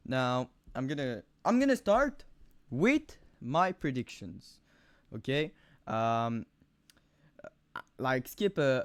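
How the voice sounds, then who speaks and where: A young man with a thick Quebec French accent giving rambling, profane fight predictions on camera, full of fillers and sudden animated outbursts. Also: mid-range voice, clean tone, dry recording. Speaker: MMA video host. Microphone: camera mic, close.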